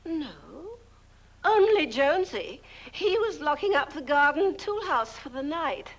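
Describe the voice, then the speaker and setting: A dotty, cloyingly polite older sister in a horror-comedy film. Her voice is high and takes its time. The old soundtrack is dull and lacks crispness. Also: lively intonation, a little slow. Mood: chirpy. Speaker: actress.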